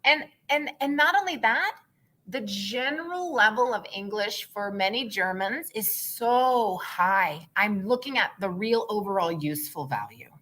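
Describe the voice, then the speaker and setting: A woman's voice: mid-range voice, lively intonation, unhurried, a bit bright. A woman, a company founder, speaking as an interview guest in an enthusiastic, emphatic way. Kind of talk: casual interview chat.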